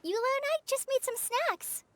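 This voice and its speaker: A woman's voice: very high-pitched, expressive, moderate pace, fairly bright tone, dry recording. A woman voicing a sweet, eager and politely shy young girl in a video game, with a bubbly manner. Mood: cheerful, eager. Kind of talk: cartoonish game voice acting.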